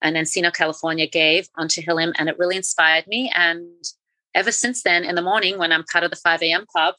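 A woman's voice: lowish voice, steady tone, fast, pushed and bright, no room sound, rough recording. A middle-aged woman co-hosting a podcast, talking earnestly and with enthusiasm in casual conversation. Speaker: podcast co-host.

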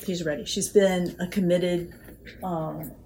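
A woman's voice: on the low side, fairly even intonation, slow pace, warm tone, slight background noise. A woman answering conversationally in an interview as a departing nonprofit chief executive.

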